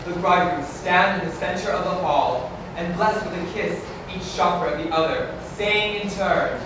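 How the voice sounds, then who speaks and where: An actor playing a stately wedding officiant, leading the rites in a formal, ceremonial way in a staged reading. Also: male voice, high voice, moderate pace, natural voice. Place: large indoor community hall. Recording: echoey hall, distant mic, background noise.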